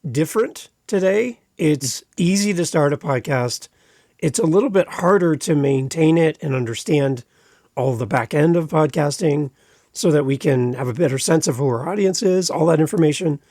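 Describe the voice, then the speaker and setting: A man hosting a podcast in an upbeat, promotional way, with a voice that sits a little high for a man. The sound is close and dry, with almost no room echo. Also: darkish tone. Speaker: podcast host.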